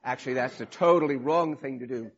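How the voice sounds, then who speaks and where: Hoarse raspy-voiced male preacher. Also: medium pitch, fairly quick, muffled recording. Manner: informal, rambling with restarts and fillers. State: hoarse from a cold. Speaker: pastor. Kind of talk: sermon.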